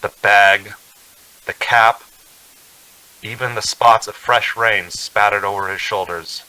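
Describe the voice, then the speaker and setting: A man's voice: fairly low pitch, fairly even intonation, slow, sharp tone, heavily acted, poor audio. An actor playing an uneasy young man on a road trip in a suspense radio drama. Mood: brooding, uneasy. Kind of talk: radio drama acting, first-person suspense narration.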